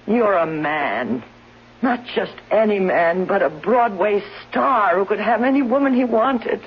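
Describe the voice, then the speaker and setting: Husky, ailing, bitter wife. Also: female voice, mid-range voice, lively intonation, measured pace. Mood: bitter self-pity. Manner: accusing, pleading. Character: ailing bedridden suspicious wife, bitter and desperate. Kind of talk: 1970s radio drama acting. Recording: dull vintage radio broadcast recording.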